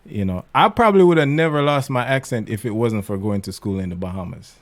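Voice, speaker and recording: A man's voice: lowish voice, expressive, quick pace. A Jamaican man, a guest minister, talking candidly and easily about his life in an interview. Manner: candid, easygoing, laughs often. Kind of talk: casual interview chat.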